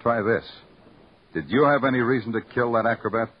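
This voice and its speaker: A man's voice: mid-range voice, brisk, husky, close to an everyday voice. An actor playing a hardboiled male police lieutenant who narrates his case in a terse, wry, world-weary way. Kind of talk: hardboiled first-person radio narration. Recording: muffled old radio recording with music.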